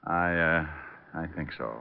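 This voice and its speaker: A man's voice: very deep voice, unhurried, vocal fry, a little hiss or hum, exaggerated voice. An American man playing a glib, sardonic private detective who narrates his case in an old radio crime drama. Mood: low, serious. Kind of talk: 1940s-50s radio crime drama acting. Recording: dull old radio recording, music behind.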